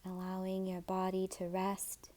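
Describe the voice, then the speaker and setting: Soft, mellow-voiced woman guiding yoga. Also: medium pitch, monotone, a little slow. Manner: soft, soothing, breathy at phrase ends. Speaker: yoga and meditation teacher. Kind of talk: guided meditation and gentle yoga instruction.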